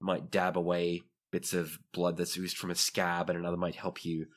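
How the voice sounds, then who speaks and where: A man's voice: low voice, completely flat delivery, moderate pace. A man narrating a tabletop role-playing game as game master, in a conversational, descriptive way.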